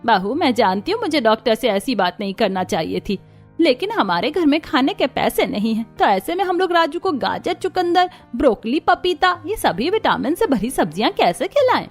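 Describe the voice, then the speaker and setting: A woman's voice: sits high, very animated, moderate pace, faint music behind, pitched above the performer's own voice, full character voice. A woman voicing a distressed, plaintive older mother-in-law from a poor family in a cartoon story.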